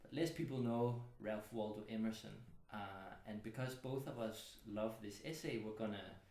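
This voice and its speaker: A man with a low-pitched voice leads a casual book club chat, thinking aloud and pausing with fillers. He is recorded in a home studio with noticeable room echo. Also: fairly even intonation, a little mellow. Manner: reflective, thoughtful, with many fillers. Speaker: host.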